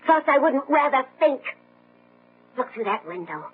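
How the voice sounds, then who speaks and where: An actress playing a distraught, defiant woman in a radio drama, sharp and theatrical. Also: high voice, sing-song, a little slow, a little hiss or hum. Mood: indignant, appalled. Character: distraught, defiant woman standing up to domineering man. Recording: slightly dull old radio recording.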